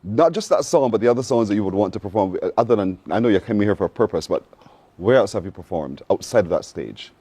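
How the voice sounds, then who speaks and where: A Barbadian man hosts a morning TV show with a lively, swooping pitch and a mellow, warm tone. He stays upbeat and encouraging and echoes his guest's answers, and the studio sound is dry and close. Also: fast, breathy edge. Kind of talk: TV interview.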